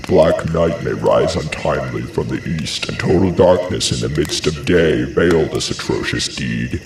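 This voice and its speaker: An actor playing a grave messenger in a classical tragedy, declaiming horrors in portentous, deliberate verse with a gravelly voice. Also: male voice, very deep voice, darkish tone, a little hiss or hum, exaggerated voice. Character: grave male messenger recounting a horrific crime. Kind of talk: solemn theatrical verse declamation. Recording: roomy, distant voice under music.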